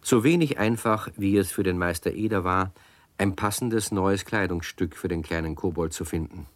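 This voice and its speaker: A man narrating a children's radio play in a calm, gently wry storytelling manner. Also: low voice, normal speed, no room sound.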